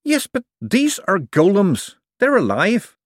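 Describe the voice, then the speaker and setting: Casting: sardonic, argumentative storybook man voiced by a male narrator, easily outraged, swooping pitch. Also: wildly swooping pitch, slow, dry recording, studio quality, exaggerated voice. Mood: exasperated, outraged. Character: wry, exasperated, fast-thinking man prone to outrage.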